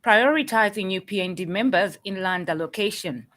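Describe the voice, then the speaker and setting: A woman with a Zambian accent reading a scripted radio news bulletin in a formal, measured manner. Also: mid-range voice, a bit bright. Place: radio studio.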